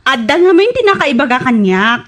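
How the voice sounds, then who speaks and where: A woman's voice: sits high, sing-song, brisk. A woman playing a suspicious, hurt live-in partner in an Ilocano radio comedy drama, questioning and plaintive.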